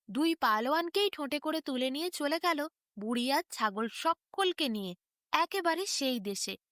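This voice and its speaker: A woman narrating a scripted children's fairy tale in a dramatic, expressive way. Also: sits high, no room sound, studio quality. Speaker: storyteller.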